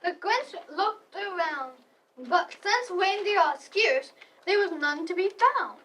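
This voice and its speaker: A woman's voice: very high voice, animated, slow pace, fairly bright tone, slight background noise. A girl of about eleven or twelve reading a story aloud in readers theater. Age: child, about 11 to 12. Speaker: student narrator. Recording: distant mic.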